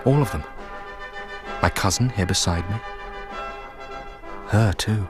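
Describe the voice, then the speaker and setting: A man's voice: fairly low pitch, takes it slowly, over music. An actor in a radio drama playing an eager, impressionable young man given to musing aloud. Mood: brooding. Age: young adult. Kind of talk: radio drama acting, interior monologue.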